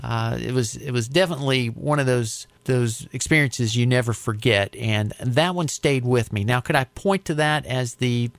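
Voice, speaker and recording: A middle-aged American man speaks in a medium-pitched voice, explaining and recounting in an interview. His phrasing is halting and reflective, and the sound is dry and close. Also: vocal fry, fairly bright tone, no echo. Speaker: interview guest, aviation safety advocate. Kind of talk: conversational storytelling interview.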